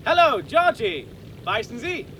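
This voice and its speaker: An actor playing a wry, cautious trekking companion, teasing and sceptical, in a 1950s radio play. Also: male voice, extremely high pitch, sing-song, measured pace, bright, forceful tone, heavily acted. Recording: noisy track with running water.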